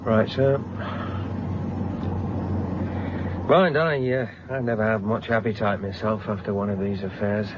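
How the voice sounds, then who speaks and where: An actor playing a deferential young British police constable in a radio drama, matter-of-fact with a touch of dry humour. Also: male voice, on the low side, steady tone, normal speed, lightly acted. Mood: polite, dry. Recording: dull sound, clear car engine noise.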